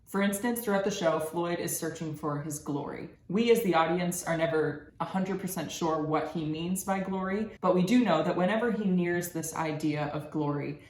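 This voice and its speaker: Young female student presenter, low register, explanatory and articulate, reading prepared research in a roomy space with slight background noise. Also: moderate pace, few pauses, a little mellow. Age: young adult. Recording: echoey room with background noise.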